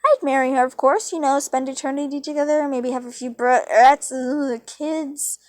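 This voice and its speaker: Woman voicing a smug, high-pitched male chaos villain. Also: moderate pace, darkish tone, no echo, lightly acted. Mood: mocking. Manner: mischievous, mocking, wheedling.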